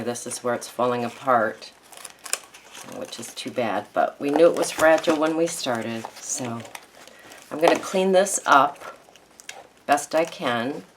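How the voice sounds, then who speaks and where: A hoarse woman with a froggy voice hosting a casual crafting tutorial, chatting and thinking aloud with ums as she works. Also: rumbling low, noisy background. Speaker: craft video host.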